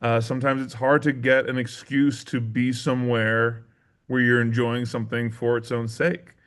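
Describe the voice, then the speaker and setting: A man's voice: mid-range voice, level tone, normal speed, a bit bright, clean tone. A man being interviewed as a theatre maker, speaking in an earnest, reflective way and feeling out his phrasing.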